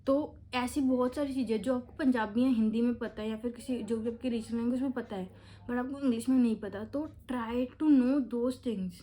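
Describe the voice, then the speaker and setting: Young woman, Indian accent, casual tutorial vlog, slightly high, warm mellow tone, code-switching. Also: steady tone, moderate pace. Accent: Indian English. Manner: chatty, mixes Hindi and English freely. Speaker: vlogger giving exam tips. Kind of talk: casual tutorial talk to camera.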